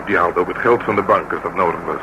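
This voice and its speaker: A wry police detective, noisy recording. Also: male voice, low voice, fast, dull audio. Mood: dry, wry. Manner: dry, grumbling, wry. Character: gruff, sardonic male police detective. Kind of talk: radio drama dialogue. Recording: noisy old radio drama recording.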